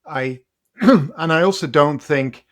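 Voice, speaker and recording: A middle-aged man with a British accent, a podcast guest telling his story in a reflective, measured way. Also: a little high. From Britain. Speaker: guest, company CEO and author. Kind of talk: interview conversation.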